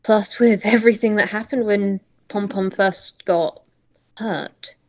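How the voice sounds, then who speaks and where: A woman improvising story narration for a roleplay, in reflective, halting phrases. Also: medium pitch, steady tone, darkish tone.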